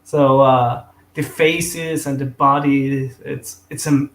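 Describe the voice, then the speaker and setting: A man's voice: mid-range voice, fairly even intonation, slow pace. Austrian man in an echoey room. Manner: enthusiastic, fillers and restarts, laughs easily. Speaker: guest. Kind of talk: casual chat about comics.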